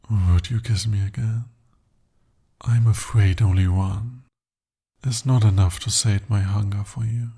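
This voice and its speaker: A man voicing a devoted, possessive male demon in an ASMR romance roleplay, speaking softly and formally. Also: fairly low pitch, breathy edge, full character voice. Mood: hushed. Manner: soft, intimate, formal speech. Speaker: voice actor.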